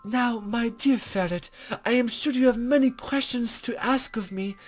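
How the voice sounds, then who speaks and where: A man's voice: very high-pitched, measured pace, soft background music, voice pushed up. A man voicing a mysterious, courteous lady guide in a visual novel game, with soothing, formal, flowery speech. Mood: gentle, gracious.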